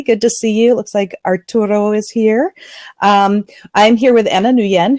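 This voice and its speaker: Chatty, upbeat online host. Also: female voice, medium pitch, a little mellow, no echo, studio quality. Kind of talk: casual online talk show. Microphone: headphones on a video call.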